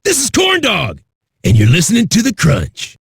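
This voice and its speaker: A man playing a brash, foul-mouthed metal radio DJ in a video game, with a raspy voice and rowdy, swaggering delivery. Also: high-pitched, huge pitch swings, normal speed, exaggerated voice. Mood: cocky, amped. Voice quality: raspy, gravelly. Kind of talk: high-energy rock radio DJ patter.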